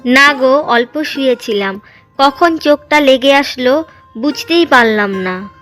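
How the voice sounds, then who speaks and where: A woman's voice: high voice, lively intonation, measured pace, fairly bright tone, faint music behind. A woman playing a meek, dutiful young daughter-in-law in a village cartoon drama. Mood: hurt, meek. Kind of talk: Bengali cartoon drama dialogue.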